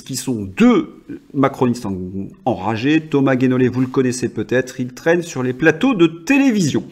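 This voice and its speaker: A man's voice: fairly high pitch, a little mellow. A man hosting a political commentary show, talking in an ironic, indignant tone with mocking asides.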